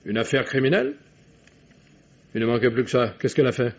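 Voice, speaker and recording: An actor playing a gruff, weary small-town veterinarian with a husky, creaky voice, in 1950s French radio drama. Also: male voice, medium pitch, sing-song, brisk. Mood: irritated. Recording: dull, band-limited vintage radio recording.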